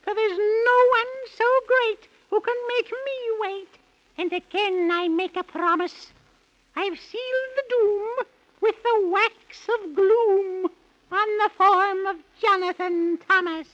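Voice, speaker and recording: Shrill, gloating wicked old witch. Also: a bit bright, exaggerated voice. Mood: gloating, scheming. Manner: slow sing-song rhyming incantation. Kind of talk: 1930s children's radio serial acting. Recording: muffled old 1930s radio recording.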